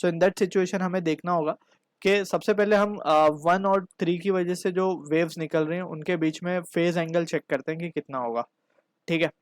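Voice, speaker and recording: An Indian man with a slightly high voice teaches a physics problem step by step. He explains each stage and checks in with short tags. The sound is dry, with no room reverb. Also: steady tone, moderate pace. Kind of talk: step-by-step problem-solving lesson.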